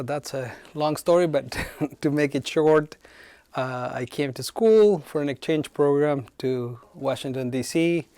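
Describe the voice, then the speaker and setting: A man's voice: mid-range voice, darkish tone, no room sound. Man with a dry, close sound. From Chile. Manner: thoughtful, frequent hesitations. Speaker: head of human resources. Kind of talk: interview answers.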